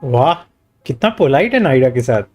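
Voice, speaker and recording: Very deep-voiced Indian woman streamer. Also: sing-song, very fast, darkish tone. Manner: mixes Hindi and English. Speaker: game streamer. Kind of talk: live gameplay commentary, reading dialogue aloud. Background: game music.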